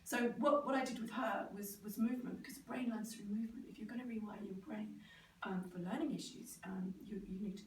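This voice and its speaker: A middle-aged British woman with a croaky, sore-throated voice, giving an informal, animated talk and sometimes mimicking other people. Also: mid-range voice, fairly quick. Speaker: speaker, neurodevelopment specialist. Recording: roomy, distant microphone, clear background noise.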